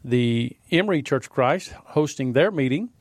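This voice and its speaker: A man co-hosting a morning religious radio show, giving event announcements in a steady, measured way. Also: medium pitch, dry recording, clean studio sound. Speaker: radio co-host. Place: radio studio.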